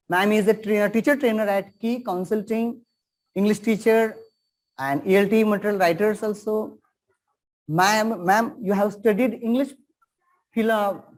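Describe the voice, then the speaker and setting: A man's voice: sits high, a little mellow. An Indian man hosting a webinar over a video call. His speech is earnest and formal, with key phrases repeated for emphasis in the manner of a teacher addressing students.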